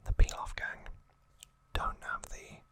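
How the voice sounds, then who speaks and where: Slow whispering man, ASMR style. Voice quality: breathy unvoiced whisper. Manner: hushed, unhurried, short phrases with pauses. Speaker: ASMR host. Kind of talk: whispered ASMR trivia recap of an anime story.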